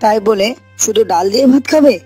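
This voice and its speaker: A woman's voice: a little high, brisk, warm tone, husky. Nagging young village husband in a cartoon, lady voicing him, complainy, bit of music in the back. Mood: nagging, irritated. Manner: questioning, complaining. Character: dutiful, nagging young married village son. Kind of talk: cartoon character dialogue. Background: faint music.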